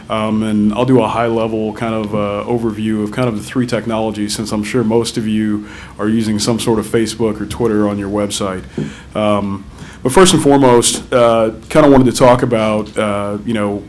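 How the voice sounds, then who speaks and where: A man with a deep voice giving a conference talk as a vendor, casual and full of ums. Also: darkish tone, a little hiss or hum. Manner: casual, many ums and uhs. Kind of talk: conference presentation. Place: conference room with audience.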